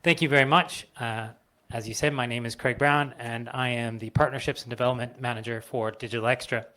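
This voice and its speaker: A man's voice: medium pitch, steady tone, brisk, sharp tone, dry recording. Canadian man telling a personal story. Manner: nervous but candid, conversational, walking around while speaking. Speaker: speaker at an industry event. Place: event venue, in front of a live audience.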